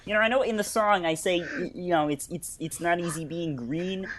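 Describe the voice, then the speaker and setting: A man voicing a prudish, moralizing male puppet frog in an improvised comedy dub, speaking haltingly over a noisy home mic. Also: high-pitched, fairly bright tone. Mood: preachy, earnest.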